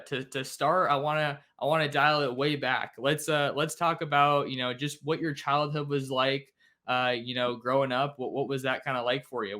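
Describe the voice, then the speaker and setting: A man's voice: fairly high pitch, sharp tone. A man hosting a podcast, asking questions in a friendly, casual way and restarting his sentences often. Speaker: podcast host. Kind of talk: casual interview.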